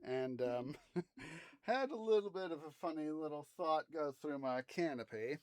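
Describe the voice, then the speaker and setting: A man's voice: on the high side, animated, normal speed. A man hosting a livestream in casual, hesitant, rambling chat. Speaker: live streamer and host.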